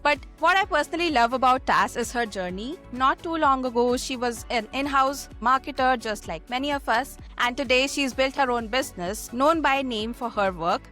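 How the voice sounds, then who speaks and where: A woman's voice: high-pitched, moderate pace, hardly any pauses, bright, forceful tone, soft background music. A woman hosting a podcast, giving prepared introductions and asking interview questions. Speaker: podcast host.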